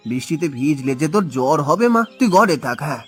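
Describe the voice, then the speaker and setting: A man playing a gentle, poor village father in a cartoon, speaking in a tender, plaintive way. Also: fairly high pitch, sing-song, quick pace, husky, soft background music. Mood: worried, tender. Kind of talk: cartoon voice acting.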